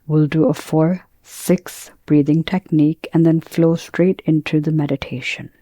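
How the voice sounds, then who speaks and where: A woman teaching guided meditation, speaking in a calm, gentle, measured way with pauses between phrases. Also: sits low, dry recording.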